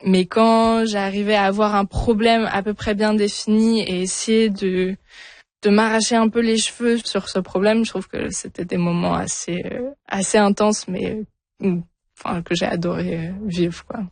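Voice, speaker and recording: A woman's voice: mid-range voice, level tone, normal speed, a little mellow, no room sound. Young french woman in an interview, lots of euh and restarts, dry close sound. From France. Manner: hesitant, many fillers and restarts. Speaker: interview guest, new PhD in physics. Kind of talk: radio interview, casual answers.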